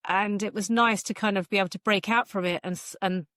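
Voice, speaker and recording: A woman's voice: mid-range voice, fairly even intonation, quick pace, a bit bright, no echo, clean studio sound. A woman being interviewed as a guest author, chatting casually with a wry, self-deprecating manner. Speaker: guest novelist.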